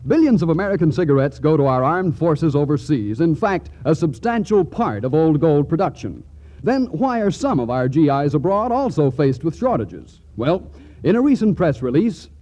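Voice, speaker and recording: High-pitched, mellow male radio announcer. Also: very animated, normal speed. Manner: earnest, emphatic. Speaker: commercial announcer. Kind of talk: scripted 1940s radio advertisement. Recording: muffled 1940s radio recording.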